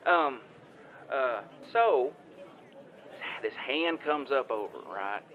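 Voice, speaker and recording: Muffled phone sound, a Southern US farmer, flustered, devout, slow and halting, folksy, audio comedy. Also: male voice, mid-range voice. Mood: uneasy, embarrassed. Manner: rambling, stammering, halting, folksy. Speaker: caller. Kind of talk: improvised comedic audio drama phone call.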